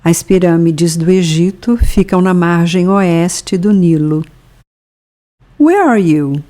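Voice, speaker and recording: A woman with a Brazilian accent leads a scripted language lesson. She reads each phrase very slowly and deliberately, leaving room for the listener to repeat it. Her voice is mellow and sits a little low. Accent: Brazilian Portuguese. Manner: slow, careful bilingual reading with pauses for repetition. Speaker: language teacher.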